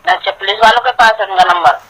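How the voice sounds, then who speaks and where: A woman's voice: mid-range voice, quick pace, fairly bright tone. Anxious middle-aged woman on the phone. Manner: anxious, questioning. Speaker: worried mother on a phone call. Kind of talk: informal phone conversation. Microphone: telephone. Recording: recorded telephone call.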